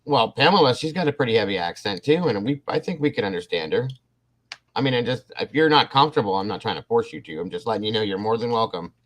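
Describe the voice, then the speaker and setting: A man's voice: lowish voice, expressive, fast talker, a bit bright. A man hosting a casual livestream, rambling and restarting his sentences as he talks to his viewers. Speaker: livestream host. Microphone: computer mic on a livestream.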